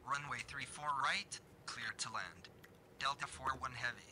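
A man's voice: mid-range voice, normal speed. A man voicing a businesslike airline pilot giving clipped readbacks over aircraft radio in a simulator game. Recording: sharp, faintly noisy radio audio.

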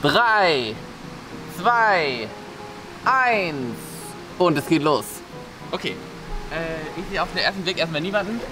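A man in his thirties wanders an indoor water park, thinking aloud in casual commentary. He is a little self-deprecating, and loud music plays behind his voice. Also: a little high, expressive, slow pace, pauses often, low-quality recording. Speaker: video host, the seeker in hide and seek. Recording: loud music over indoor camera audio. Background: loud music, water noise.

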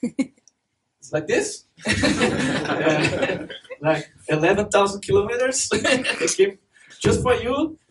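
A man's voice: sits high, animated, slow. A young man from Brazil with a Brazilian Portuguese accent, giving a chatty conference talk full of fillers and laughs. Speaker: conference speaker. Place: dark event hall with stage and projector. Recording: distant mic with faint noise.

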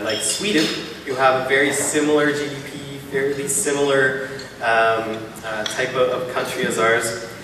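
Man, thirties, keynote speech, echoey hall recorded from a distance, room hiss. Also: medium pitch. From Canada. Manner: earnest, persuasive, builds points in rhythmic lists. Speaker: keynote speaker. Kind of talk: public speech. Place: large hall, at a podium on stage. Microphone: podium mic, filmed from far off.

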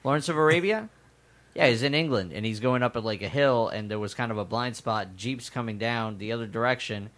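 A man co-hosting a casual podcast, animated and quick to exclaim. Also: medium pitch. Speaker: podcast co-host.

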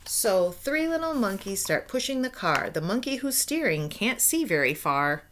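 A woman's voice: mid-range voice, sing-song, normal speed, fairly bright tone, a little hiss or hum. An American woman in her forties reading a children's picture book aloud expressively, with asides and questions to young listeners. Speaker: read-aloud storyteller. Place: small room at home.

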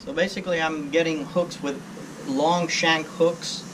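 A man's voice: a little high, fairly even intonation, a little slow, background noise. A middle-aged man from Florida giving a casual, hands-on demonstration of tying fishing lures, easygoing and rambling, often saying "you know.". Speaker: fishing guide teaching lure making. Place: under a covered boatyard shelter outdoors.

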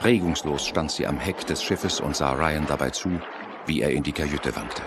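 A man's voice: a bass voice, normal speed, background noise. A man narrating a horror radio play dramatically, with an ominous storytelling manner. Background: loud music.

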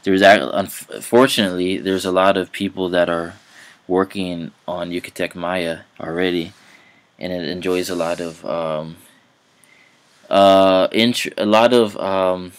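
A young man with a deep, low voice talks casually over a screen recording. He stops and restarts often, filling the gaps with ums and uhs. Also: steady tone, smooth. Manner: halting, many fillers and restarts. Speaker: language hobbyist vlogger.

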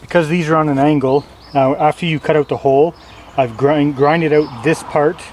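A man's voice: a little high, fairly even intonation, normal speed, a little mellow, a little hiss or hum. A man casually talking through a do-it-yourself job outdoors in a plain, loose way, with fillers and self-corrections. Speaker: DIY instructor. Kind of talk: casual how-to explanation. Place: outdoors on a lawn.

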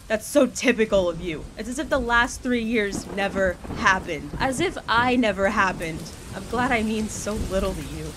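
A woman's voice: medium pitch, lively intonation, normal speed, hardly any pauses, background noise, lightly acted. A woman playing an intense, defiant dramatic heroine with an emotional manner. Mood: bitter hurt.

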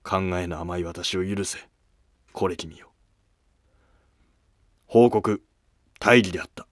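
A man's voice: deep voice, slow, no room sound, clean studio sound. A man playing a stern, sorrowful emperor of ancient Japan, speaking in solemn, commanding archaic phrases for a history drama.

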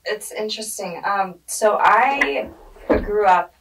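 A young woman born in Germany and raised in Hungary, answering interview questions in a relaxed way with many fillers, on a podcast mic in a studio. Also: mid-range voice, slow pace, distant mic, noisy background. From born in Germany, grew up in Hungary. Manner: relaxed, thoughtful, with frequent fillers. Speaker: guest, street art curator. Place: studio with dark padded walls. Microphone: podcast mic on a desk arm.

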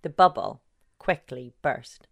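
A woman journalist reading her own long-form article aloud in a measured, sober way. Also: extremely low pitch, lively intonation, vocal fry. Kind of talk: scripted narration.

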